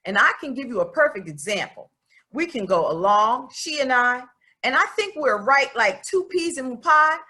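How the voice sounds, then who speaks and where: Woman reading as an outspoken, lively schoolgirl. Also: fairly high pitch. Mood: earnest, questioning. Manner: folksy, candid, emphatic. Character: outspoken, questioning young schoolgirl writing letters, earnest and stubborn. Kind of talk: expressive storybook read-aloud in first person.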